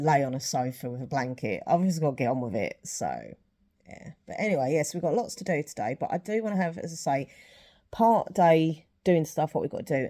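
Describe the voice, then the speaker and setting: Chatty woman with a low, mellow voice. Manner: chatty, rambling with fillers. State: slightly sniffly, sore throat. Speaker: vlogger. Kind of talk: casual vlog chat.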